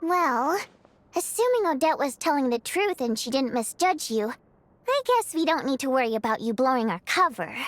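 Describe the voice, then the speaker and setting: An actress playing an excitable, outspoken young woman with a dramatic, chatty delivery in a video game. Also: high voice, normal speed, slight background noise. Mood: relieved, cautious. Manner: expressive, exclamatory, chatty.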